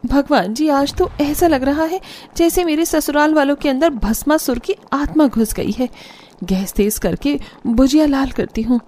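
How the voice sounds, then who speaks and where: A woman's voice: sits high, normal speed, a little mellow, pitched above the performer's own voice, natural voice. A woman voicing an irritable, overworked young daughter-in-law in a cartoon family drama, grumbling sarcastically in exasperation. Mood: fretting. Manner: exasperated muttering complaints.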